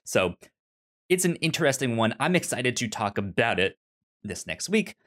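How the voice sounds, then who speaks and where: A man co-hosting a casual discussion podcast, speaking informally and haltingly, with stuttered, repeated syllables and words. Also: mid-range voice, big pitch swings, a bit bright. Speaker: podcast co-host. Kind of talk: casual book and film discussion.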